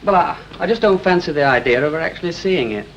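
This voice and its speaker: An actor playing an awkward, evasive young student in a 1960 television drama. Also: male voice, on the high side, lively intonation, fast talker, gravelly, dull audio. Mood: defensive, uneasy. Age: young adult. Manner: hesitant, defensive. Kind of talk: 1960s British television drama acting. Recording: noisy 1960 television soundtrack.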